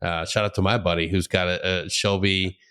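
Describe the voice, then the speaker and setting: Lower-voiced man hosting a podcast. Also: level tone, a little fast, pushed and bright, clean tone. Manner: enthusiastic, laughs often, stumbling restarts. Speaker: podcast host. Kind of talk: casual interview chat.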